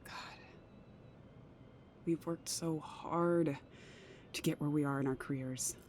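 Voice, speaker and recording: Worried careful career mom, audio drama, lowish mellow voice, confiding, hesitant. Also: female voice, steady tone, slow, slight background noise. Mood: wistful. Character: careful, troubled career woman, secretly a mother. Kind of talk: intimate audio drama acting.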